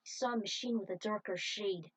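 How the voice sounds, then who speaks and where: A woman narrates a fan-made racing story in a steady, mid-pitched voice. Her reading is even and unhurried. After the story, she chats casually about it. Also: poor audio. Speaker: fan fiction reader.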